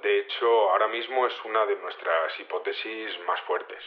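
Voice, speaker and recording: An actor playing a cautious, matter-of-fact investigator, speaking in a measured conversational way during a radio interview in an audio drama. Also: male voice, fairly low pitch, steady tone. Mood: candid, cautious. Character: matter-of-fact male investigator giving a cautious official update. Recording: slightly dull, lo-fi call sound.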